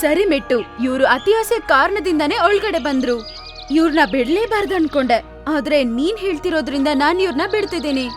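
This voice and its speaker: A woman's voice: high voice, animated, moderate pace, over music. A woman voicing a commanding, magical queen of birds in a cartoon story. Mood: approving.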